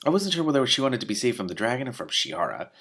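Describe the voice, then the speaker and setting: Animated man reading a story aloud. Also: medium pitch, fast talker. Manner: expressive, reacting casually to the story. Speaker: reader and commentator of a fantasy novel. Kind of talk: animated read-aloud with off-the-cuff commentary.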